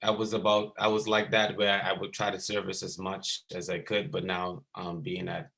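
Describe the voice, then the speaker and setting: Deep gravelly man, halting speech. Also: level tone, sharp tone. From Bahamas. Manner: hesitant, many ums and restarts. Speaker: basketball skills coach. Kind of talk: casual interview over video call.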